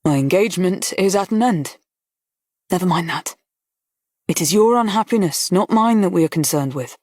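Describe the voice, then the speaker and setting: Brief: a woman doing a reserved, gentle colonel, low and measured, storybook reading, dry sound. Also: animated, no room sound. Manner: quiet, measured and restrained. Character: grave, gentle army colonel, restrained man.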